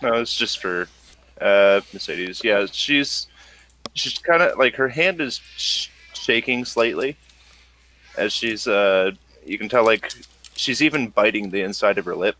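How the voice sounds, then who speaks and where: A man narrating a tabletop role-playing game as game master, improvising in a halting, conversational way. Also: fairly low pitch, expressive, pushed and bright.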